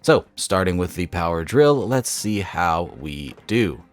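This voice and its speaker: A man's voice: low-pitched, sing-song, unhurried. A young man with a cold and a slightly stuffy voice, hosting a gaming channel and walking through a game mod step by step in an upbeat, casual voice-over.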